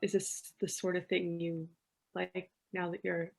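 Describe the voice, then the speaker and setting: A woman playing an anxious, wounded lover in a fan-made superhero drama, with halting, emotional delivery. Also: fairly low pitch, little pitch movement, creaky, faint music behind. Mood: frightened, tender. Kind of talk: dramatic acting in a fan-made online play.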